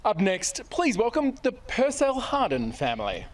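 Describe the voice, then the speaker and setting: Male MC, high voice, unhurried, formal, noisy outdoor feed. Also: wildly swooping pitch. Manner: measured, welcoming names one by one. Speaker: ceremony announcer. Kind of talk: formal announcing. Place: outdoors by a lake.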